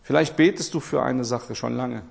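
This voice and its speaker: A man from Portugal preaching a sermon with passion, repeating his phrases and swinging between loud and quiet. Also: medium pitch, moderate pace, gravelly.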